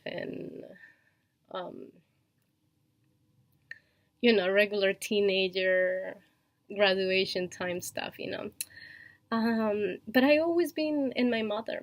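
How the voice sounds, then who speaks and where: Colombian-accented woman vlogger, slow and unhurried, dry close sound, hesitant with fillers and little laughs. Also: medium pitch, no echo. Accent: Colombian Spanish. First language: Spanish. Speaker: vlogger and online shop owner. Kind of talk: casual personal vlog.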